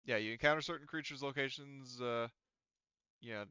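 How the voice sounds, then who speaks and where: A man with a slightly low, crisp voice runs a tabletop roleplaying game. He explains casually and hesitantly, in a dry, somewhat dull recording. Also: normal speed, pushed and bright, dry recording. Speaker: game master.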